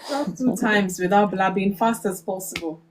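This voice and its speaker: A woman's voice: medium pitch, moderate pace, crowd noise. A woman with a Nigerian accent hosting a casual live group chat in a playful, coaxing, encouraging way. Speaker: live stream host.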